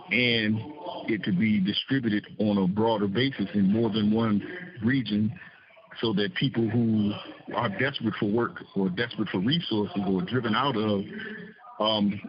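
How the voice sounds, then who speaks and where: A man leading a political discussion, explaining in a halting, didactic way. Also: fairly low pitch, steady tone, highs cut off. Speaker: discussion host.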